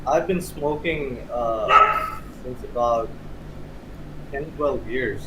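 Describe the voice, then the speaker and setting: A man's voice: mid-range voice, slow pace. A man in his thirties with a Pakistani accent, explaining things as an interview guest and often rephrasing himself. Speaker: interview guest, cigar hobbyist. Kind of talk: casual interview chat. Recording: clear steady background noise.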